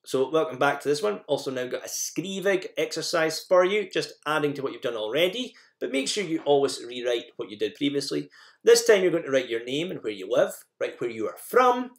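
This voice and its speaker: A man presenting a language lesson in an informal, instructional manner, guiding learners through slides and examples. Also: mid-range voice.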